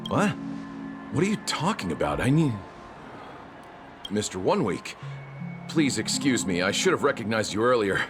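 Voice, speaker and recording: A man's voice: on the low side, very animated, normal speed, pauses often. An actor playing a tired, flustered male security guard who sounds nervous and apologetic, with music playing behind him.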